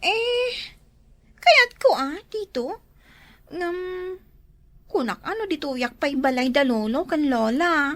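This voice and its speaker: A woman's voice: sits high, expressive, slow, pauses often, fairly bright tone, no room sound, full character voice. A woman with an Ilocano Filipino accent playing a hurt, embarrassed young girl in an audio drama. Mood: hesitant.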